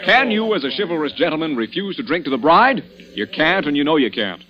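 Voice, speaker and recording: A man's voice: on the high side, very animated, fairly quick. A man playing a boisterous, mock-pompous party chairman in an old radio drama, speechifying grandly. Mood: grandiose teasing. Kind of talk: 1940s radio acting. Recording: muffled old radio recording, loud music.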